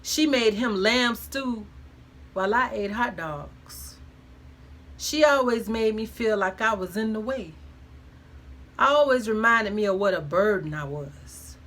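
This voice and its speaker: A woman's voice: mid-range voice, animated, slow pace, fairly bright tone. A woman reading her own first-person memoir aloud in a frank, conversational way with emphatic colloquial phrasing.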